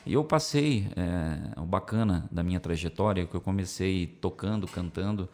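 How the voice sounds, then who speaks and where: A man with a very deep voice tells his story unhurriedly, his Brazilian Portuguese carrying a country twang from years on the road. He is from São Paulo state and talks in a loose, casual interview style. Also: moderate pace. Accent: Brazilian Portuguese with a country twang picked up from the Center-West. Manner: storytelling, relaxed, many fillers. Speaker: music publisher guest.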